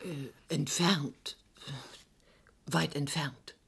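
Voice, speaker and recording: A sceptical, inquisitive office assistant in a radio crime play. Her voice is very husky and deep for a woman, her manner dryly persistent, questioning and slightly hesitant. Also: fairly even intonation, extremely slow, full character voice. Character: inquisitive, gently sceptical female office assistant, worried about her brother. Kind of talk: German radio crime drama acting.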